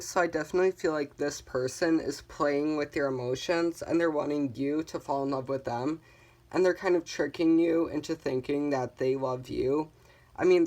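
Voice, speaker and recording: A tarot reader hosting a casual card reading, talking in a calm, reassuring way and guiding viewers, with frequent "um" fillers. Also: medium pitch. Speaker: tarot reader, channel host.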